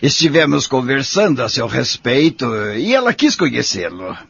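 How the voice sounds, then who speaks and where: An actor playing a kindly, jovial elderly man in an audio soap, husky and friendly. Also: mid-range voice, wildly swooping pitch, normal speed, a bit bright, dry recording, heavily acted. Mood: friendly, conspiratorial. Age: older. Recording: dull lo-fi audio.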